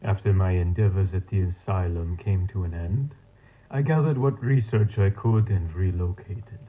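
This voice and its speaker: A man's voice: low-pitched, natural voice. Man acting as coldly clinical mad scientist, tinny tape. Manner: formal, measured, clinical. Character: coldly clinical male mad scientist dictating experiment logs. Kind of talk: analog horror audio log acting. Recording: tinny, phone-like tape log sound.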